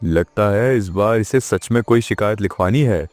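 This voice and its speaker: A man's voice: lowish voice, moderate pace, a little mellow, no echo, soft background music, natural voice. A man playing a weary, exasperated village police station chief who grumbles sarcastically in a children's cartoon. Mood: taken aback. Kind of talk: children's cartoon moral story acting.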